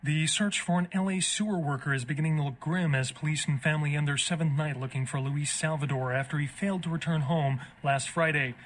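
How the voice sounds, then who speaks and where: An actor playing a sober local newscaster, reading scripted bulletins in a formal, measured way. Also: male voice, a little high, fairly even intonation, slight background noise, natural voice. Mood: grave, formal.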